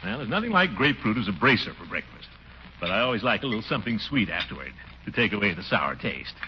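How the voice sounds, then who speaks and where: A man's voice: lowish voice, sing-song, quick pace. A man playing a pompous, blustering middle-aged uncle and city official in a 1940s radio comedy. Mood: pompous lecturing. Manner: pompous, blustering, exclamatory, muttering to himself. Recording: muffled, noisy 1940s radio recording.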